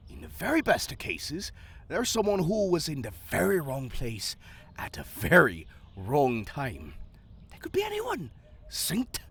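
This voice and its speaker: A man playing a wry, protective fantasy guardsman in an audio roleplay, with a theatrical, mock-pompous manner and a husky voice. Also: fairly high pitch, fairly bright tone, full character voice. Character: male fantasy head guard's deputy, teasing, protective, exasperated friend.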